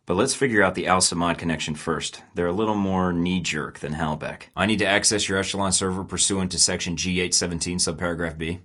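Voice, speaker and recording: A man's voice: deep voice, steady tone, a little fast, few pauses, a bit bright. An actor playing a terse, dry-witted male spy agent in game voice lines. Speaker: video game character.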